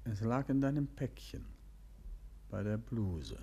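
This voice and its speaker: An actor playing a composed, courteous gentleman detective in a 1950s German radio play, speaking thoughtfully with a husky voice. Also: fairly low pitch, very animated, slow pace, full character voice. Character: composed, probing gentleman detective, husband of his companion.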